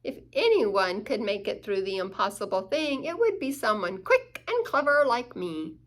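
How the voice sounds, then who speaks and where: Woman as a smug, animated little fox. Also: mid-range voice, normal speed, lightly acted. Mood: scoffing, smug. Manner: scoffing, emphatic. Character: quick, clever, smug little female fox.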